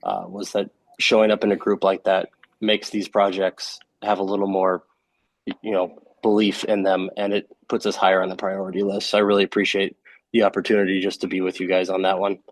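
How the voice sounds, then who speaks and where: A man's voice: deep voice, little pitch movement, fairly quick, no room sound. A man representing a county development council, joining remotely by video call and speaking casually with a lot of "you know.". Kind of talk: remarks over a video call. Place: remote, not in the meeting room.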